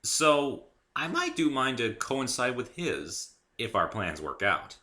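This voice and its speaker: A man's voice: mid-range voice, sing-song, takes it slowly, sharp tone. A man giving a theatrical, articulate reading of his own story, with emphatic phrasing. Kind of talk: dramatic reading, scripted narration.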